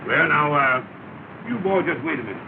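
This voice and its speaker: Guy doing a wordy small-time con man, kinda high voice, persuasive, tinny old 1930 film. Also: lively intonation, normal speed, noisy background, close to an everyday voice. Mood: scheming. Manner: persuasive, hedging, grandly wordy. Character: smooth-talking, scheming lodge leader, a small-time swindler. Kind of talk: 1930 film comedy dialogue.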